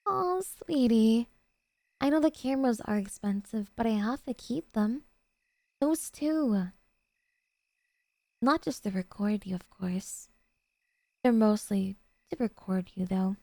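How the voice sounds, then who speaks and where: A woman acts out a doting yandere girlfriend for an ASMR roleplay, with a dark, mellow tone and a touch of creak. She speaks softly and intimately, sweet yet possessive and obsessive, in a very dry, close recording. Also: fairly high pitch, lively intonation, takes it slowly, pauses often, dry recording.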